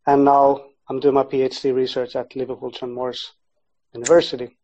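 A man who grew up in Finland answers interview questions as a podcast guest in a casual, halting way. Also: medium pitch, level tone, dark tone. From born in Sweden, grew up in Finland, half Icelandic. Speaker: podcast guest, sports psychology researcher. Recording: dull, lo-fi audio.

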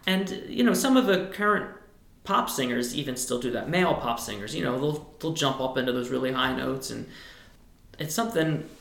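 A man's voice: animated, moderate pace, vocal fry, fairly bright tone. Choir director, male tenor, talks in loose rambling answers, roomy sound. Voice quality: high tenor speaking voice. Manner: thoughtful, rambling with restarts. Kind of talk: relaxed interview answers.

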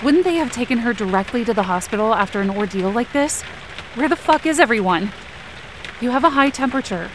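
A woman performs an anxious young female camp counselor in an audio drama, her voice on the high side. She narrates in the first person with a tense, dramatic delivery, hurt and on edge. Faint rain and ambience sit behind her. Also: lively intonation, brisk, background noise, natural voice. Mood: concerned. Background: rain and outdoor ambience effects.

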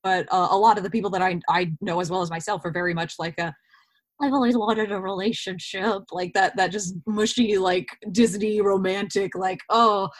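A woman's voice: medium pitch, steady tone, moderate pace. A woman talking casually in an interview, illustrating her points by acting out imagined remarks. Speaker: interview participant, actor.

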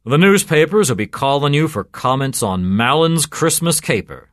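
Man putting on a gruff, mocking police sergeant. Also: fairly low pitch, expressive, a little slow, no echo, clean studio sound. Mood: sardonic, taunting. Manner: clipped, sardonic, taunting. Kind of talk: storytelling character voice.

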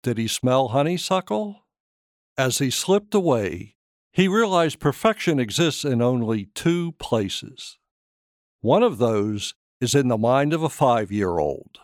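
A man narrates a short story at a slow, deliberate pace. His intonation moves freely and expressively, and the recording is close and dry. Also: mid-range voice, very animated, dry recording. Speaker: author reading his own short story.

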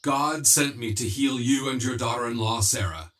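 A man playing a calm, authoritative archangel in a religious audio drama, solemn and measured in delivery. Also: mid-range voice, close to an everyday voice. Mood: grave revelation. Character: calm, authoritative male archangel revealing himself.

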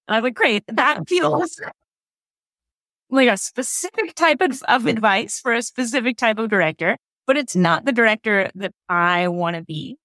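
A woman's voice: a little high, lively intonation, normal speed, a bit bright, no room sound, studio quality. A woman speaking as an interview guest, a theater director talking conversationally.

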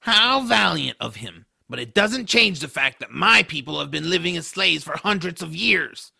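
A man voicing a bitter, sarcastic male troll in an audio drama, with a harsh gravelly voice and a grumbling manner. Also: a little high, expressive, moderate pace, bright, forceful tone, exaggerated voice. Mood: bitter, mocking. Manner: sardonic, grumbling.

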